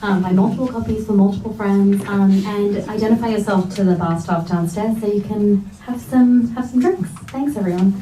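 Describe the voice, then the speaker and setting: A woman's voice: mid-range voice, normal speed, hardly any pauses. A woman hosting a live bookstore event, making casual announcements to those attending. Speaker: event host. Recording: echoey room, distant mic, background noise.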